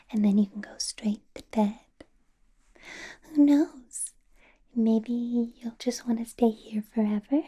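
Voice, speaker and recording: Woman playing a slow, whispering, possessive vampire lady. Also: on the high side. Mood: coaxing, possessive. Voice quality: breathy soft whisper. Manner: slow, intimate, flirtatious, coaxing. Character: doting, possessive female vampire boss, smitten and coaxing. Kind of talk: ASMR roleplay, personal attention.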